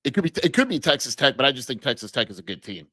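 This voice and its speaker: A man's voice: mid-range voice, sing-song, racing, pushed and bright, dry recording, studio quality. A man co-hosting a sports podcast, teasing his co-host and laughing often. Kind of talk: casual sports chat.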